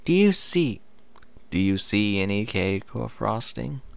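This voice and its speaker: A man's voice: on the low side, very animated, a little slow, vocal fry, pitched above the performer's own voice, heavily acted. A man voicing a bashful, puzzled female pony in a read-aloud story, tentative and questioning. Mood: unsure.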